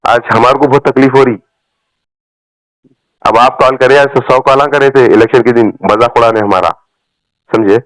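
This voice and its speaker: A man's voice: medium pitch, fairly even intonation, normal speed, pauses often, dry recording. A man with a Hyderabadi accent talking politics in an emphatic, colloquial, repetitive way on a recorded phone call. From Telangana, India. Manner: emphatic, repeats phrases, colloquial. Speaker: party supporter on a phone call. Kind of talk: political rant in casual phone conversation.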